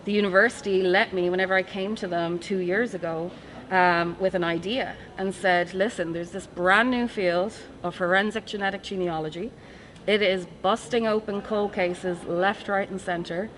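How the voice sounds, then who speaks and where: Woman, Irish accent, thirties, professor, warm chatty interview talk, faint background noise. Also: mid-range voice, fairly even intonation, normal speed. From Ireland. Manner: warm, chatty, laughs often. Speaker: forensic science professor, guest. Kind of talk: casual interview chat.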